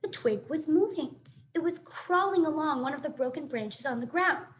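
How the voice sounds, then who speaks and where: A woman reading a children's book aloud in an expressive, animated storytelling manner. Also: high voice, moderate pace, a little hiss or hum. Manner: animated, dramatic sound effects and asides.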